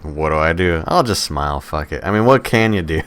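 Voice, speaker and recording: A male podcast co-host with a low voice speaks in casual banter. He tells stories conversationally and swears now and then. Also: expressive, brisk, a bit bright, clean tone.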